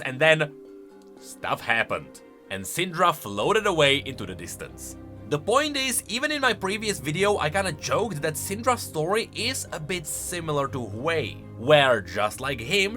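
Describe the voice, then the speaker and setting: A man's voice: on the high side, pushed and bright. A man giving casual, playful commentary as a streamer, explaining and reacting to video game lore with emphatic delivery.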